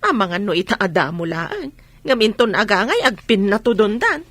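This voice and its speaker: A woman's voice: medium pitch, big pitch swings, normal speed, fairly bright tone, dry recording. A woman playing a nosy, teasing village gossip with an Ilocano accent in a radio comedy drama.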